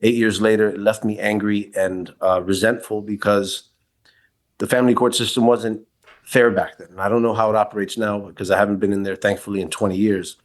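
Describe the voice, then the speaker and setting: A man's voice: fairly low pitch, little pitch movement, brisk. A man in his forties from New York City, a podcast guest telling his personal story in a reflective, candid way with frequent restarts. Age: 40s. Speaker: podcast guest, kink educator. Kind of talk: casual interview, personal story.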